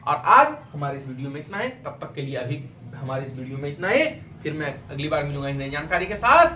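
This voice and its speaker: Indian male exam-prep teacher, very lively intonation, emphatic question-and-answer delivery, roomy sound with slight noise. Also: fairly high pitch, fast talker. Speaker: teacher and channel host. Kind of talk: exam-prep quiz lesson to camera. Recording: echoey room with faint background noise.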